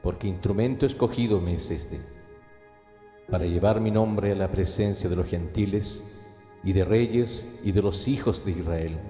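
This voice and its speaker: A man's voice: deep voice, normal speed, warm tone, close to an everyday voice. A man playing a solemn, commanding God in a radio drama. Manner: solemn, declamatory. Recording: long echoing reverb under music.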